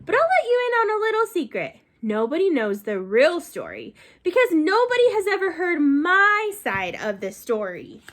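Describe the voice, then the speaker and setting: A woman's voice: extremely high pitch, huge pitch swings, moderate pace, hardly any pauses, a bit bright, pitched above the performer's own voice, exaggerated voice. A woman reading aloud as a male wolf narrator in a picture book, confiding and conversational. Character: male wolf telling his side, chatty and self-justifying.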